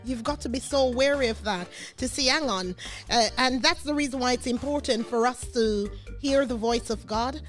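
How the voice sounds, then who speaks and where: Emphatic woman with a higher-pitched voice, music behind. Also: normal speed. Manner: emphatic, repeats phrases for emphasis. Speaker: radio host. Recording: background music under the talk.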